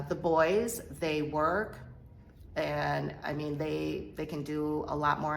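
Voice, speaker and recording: A middle-aged woman from Korea telling her life story as an interview guest, speaking hesitantly with many pauses and fillers. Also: deep voice, gravelly, a little hiss or hum, rough recording. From South Korea. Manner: hesitant, halting, soft-spoken with many fillers. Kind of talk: personal testimony interview.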